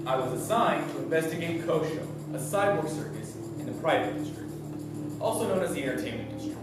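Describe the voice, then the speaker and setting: An earnest stage detective acted by a man confides in the audience with swooping, theatrical delivery. The voice rings through an echoey theater, picked up from far off, under loud music. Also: on the high side, animated, a little fast, lightly acted. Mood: confiding. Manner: declamatory, addresses audience directly.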